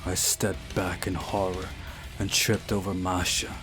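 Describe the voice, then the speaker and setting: A man narrates a visual novel in a slow, measured delivery. His voice sits fairly low, and music plays behind it as he reads the story. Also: flat delivery. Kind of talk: scripted narration, reading aloud.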